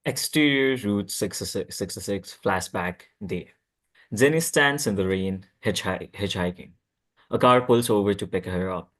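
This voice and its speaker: A man reading scripted stage directions at a table read, halting and stumbling over words now and then. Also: lowish voice, fairly bright tone.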